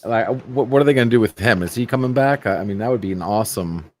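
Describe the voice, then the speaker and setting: A man's voice: on the low side, fast talker. A man talking eagerly in a casual fan panel discussion. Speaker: panel guest.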